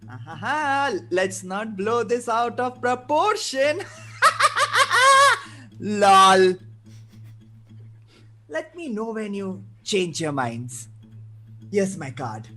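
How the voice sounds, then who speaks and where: A man playing a manic, menacing criminal clown in a live table read, taunting with drawn-out words and cackles. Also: high voice, big pitch swings, bright, forceful tone, heavily acted. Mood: gleeful.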